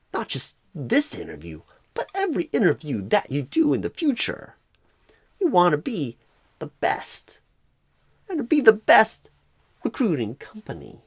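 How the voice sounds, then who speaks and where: Man doing a halting, swooping clueless recruiter. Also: high-pitched, breathy edge, dry recording, full character voice. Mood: salesy, earnest. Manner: halting phrasing with odd pauses and swooping stresses. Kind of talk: ASMR roleplay.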